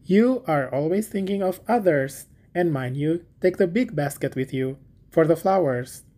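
A woman voicing a fussy, flattering miller's wife who gushes over her husband, in a storybook reading. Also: low-pitched, measured pace. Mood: gushing.

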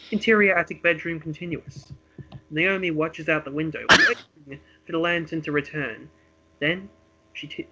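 Bright, higher-voiced man narrating a radio play. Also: dull audio. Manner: dramatic present-tense storytelling. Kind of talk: reading a script aloud for a homemade radio play.

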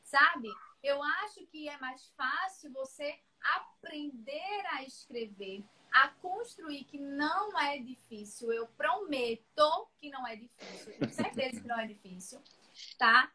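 A woman's voice: high-pitched, expressive, measured pace, bright, forceful tone, low-quality recording. A woman teaching essay writing on a live stream, chatty and reassuring, calling viewers by name. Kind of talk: live-streamed class, casual chat.

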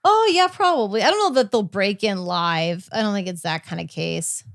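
A woman's voice: mid-range voice, animated, normal speed, vocal fry, a bit bright, dry recording. Casual woman podcaster, close dry sound. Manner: hesitant, self-correcting. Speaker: podcast host. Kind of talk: casual chat recapping a court case.

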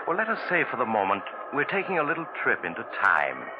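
An actor playing a mysterious, suave host of thriller tales, narrating deliberately and theatrically in 1940s radio style. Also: male voice, mid-range voice, wildly swooping pitch. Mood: ominously inviting. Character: mysterious traveler, ominous genial male host of thriller tales. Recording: noisy, muffled 1940s radio recording.

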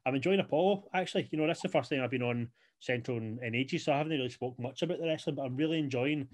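A man's voice: mid-range voice, very fast. A young man co-hosting a wrestling podcast, rambling casually and leaning on "you know" fillers. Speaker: podcast co-host. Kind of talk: casual chat.

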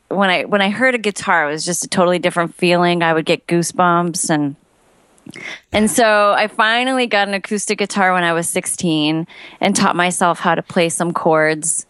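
A woman's voice: fairly low pitch, fairly bright tone, smooth, dry recording. A woman from Romania who grew up in Chicago, a musician guest chatting in a friendly, easygoing way. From Romania, raised in Chicago. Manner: friendly, chatty, laughs easily. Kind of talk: casual interview.

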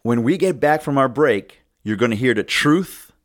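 A man hosting his own political podcast, talking loudly and emphatically in a ranting monologue. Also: medium pitch, expressive, moderate pace. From United States. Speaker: podcast host.

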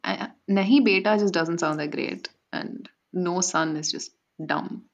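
A woman's voice: fairly low pitch, a little slow. A woman in her twenties from India, a podcast guest chatting casually and laughing at herself. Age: 20s. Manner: laughs often, self-deprecating. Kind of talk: casual chat. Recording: dull lo-fi audio.

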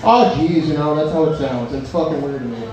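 A man's voice: on the high side, brisk, over music. Crude young man doing rambling stand-up. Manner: crude, rambling, self-mocking, restarts jokes. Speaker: stand-up comedian. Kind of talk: open-mic stand-up set with crowd work. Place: bar open mic. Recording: echoey bar from far off, noisy.

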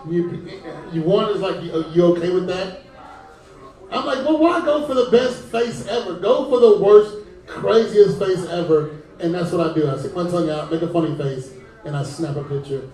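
A man's voice: sits high, normal speed, warm tone. A man on a comedy podcast, telling a story animatedly and laughing between lines. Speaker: podcast co-host. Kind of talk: comedic banter. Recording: echoey room, mic far off.

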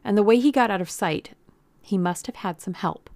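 A woman's voice: medium pitch, moderate pace, darkish tone, dry recording, pitched above the performer's own voice, lightly acted. A woman voicing a sweet, earnest, pleading young female employee in an audiobook. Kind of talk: audiobook character acting.

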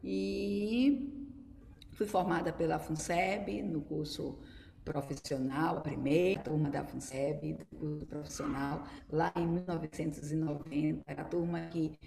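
A woman's voice: deep voice, fairly even intonation, measured pace, vocal fry, mellow. A middle-aged Brazilian woman with a Bahian accent, introducing herself casually as a guest dance teacher. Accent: Bahian Brazilian Portuguese. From Salvador, Bahia, Brazil. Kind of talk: casual spoken introduction in an online conversation. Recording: roomy long reverb with faint noise.

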